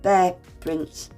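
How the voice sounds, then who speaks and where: A woman's voice: lowish voice, a little mellow. A woman with a congested, under-the-weather voice, chattily talking viewers through a nail art tutorial. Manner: chatty, self-deprecating, addresses viewers as guys. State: congested, unwell. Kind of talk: casual step-by-step tutorial narration.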